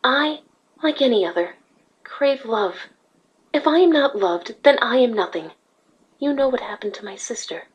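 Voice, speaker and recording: Casting: woman as serene royal mare, gentle and patient, breathy and fairly high. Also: animated, moderate pace, pauses often, dull audio. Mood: gentle, earnest. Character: calm, regal, gentle pony princess, female, peace-seeking. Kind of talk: fan fiction audio drama acting.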